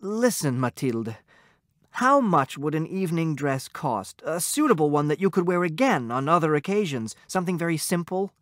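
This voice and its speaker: A man reads a meek government clerk husband, stammering and pleading as he tries to please. The voice rides up high and lively. The sound is dry and close. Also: moderate pace, no echo, natural voice. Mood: coaxing. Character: timid devoted middle-aged clerk husband.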